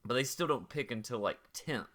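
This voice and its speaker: A man's voice: mid-range voice, lively intonation, fairly bright tone. A young man co-hosting a sports podcast, bantering casually with lots of "like" and "uh". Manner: quick banter, frequent "like" and "uh". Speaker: podcast co-host.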